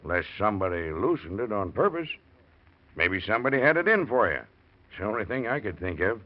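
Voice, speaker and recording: An earnest village garage man in a classic radio drama. His lowish voice swings widely as he stammers and repeats himself. The recording is old and dull. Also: big pitch swings, brisk. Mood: puzzled, insistent. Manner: hesitant, repetitive, apologetic. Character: folksy village garage mechanic, earnest and dogged.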